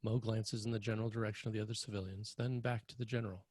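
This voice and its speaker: Husky, low-voiced male screenplay narrator. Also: steady tone, very fast, a little mellow, dry recording. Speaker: narrator reading stage directions.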